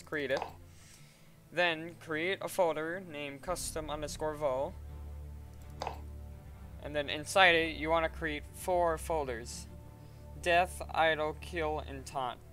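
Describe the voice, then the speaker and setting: A man casually talking through a software tutorial step by step in an informal way. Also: on the high side. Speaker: tutorial presenter. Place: voice-over at a computer.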